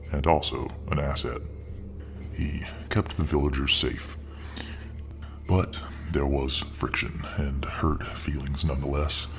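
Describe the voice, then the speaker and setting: Male ASMR storyteller, extremely low voice, hushed whispery delivery, faint hiss. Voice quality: very deep voice. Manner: slow, soft, partly whispered delivery. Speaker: narrator.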